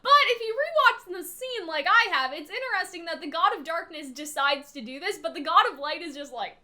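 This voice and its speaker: A woman with a high, bright voice hosts a casual analysis of a story. She talks in an informal, chatty way, often seeking agreement as she works through her ideas. Her delivery is animated and forward. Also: a little fast. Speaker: online video host analysing animated series lore. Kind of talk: casual fan analysis and discussion.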